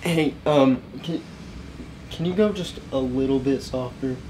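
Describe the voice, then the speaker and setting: A man's voice: mid-range voice, measured pace, a little mellow, a little hiss or hum, poor audio. An eighteen-year-old man vlogging in his bedroom, talking in a goofy, boastful, rambling way. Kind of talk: comedy vlog. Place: bedroom with gaming setup.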